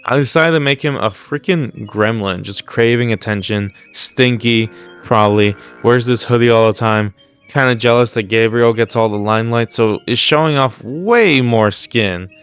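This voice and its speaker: A man's voice: medium pitch, normal speed, a bit bright, clean tone, faint music behind. A man hosting casual comedic video commentary, mock-serious, blunt and crude with plenty of swearing. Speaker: YouTube host.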